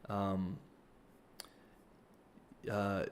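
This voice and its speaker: A man's voice: sits low, completely flat delivery, extremely slow, slight background noise. A man, hosting a casual livestream Q&A and reading donor comments, hesitant, with many ums and restarts. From New Zealand. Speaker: livestream host.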